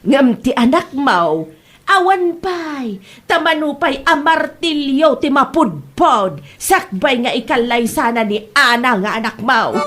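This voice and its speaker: A woman's voice: high voice, expressive, slow, fairly bright tone, exaggerated voice. A woman playing a meddling, scheming Filipino village mother in a comedy drama, exclaiming and nagging. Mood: gloating. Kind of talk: Ilocano comedy radio drama acting.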